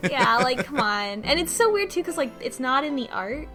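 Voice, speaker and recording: A woman co-hosting a podcast, giving a casual, rambling recap with animated retellings and music behind her. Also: high voice, moderate pace. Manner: animated retelling with mock quotes. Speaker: podcast co-host recapping a game.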